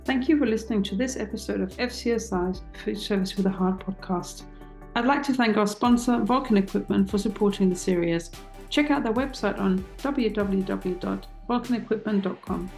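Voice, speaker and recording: A woman's voice: medium pitch, moderate pace, gravelly, a little mellow, echoey room, faint music behind. A woman hosting a podcast interview, asking questions with some hesitation and reading a closing outro.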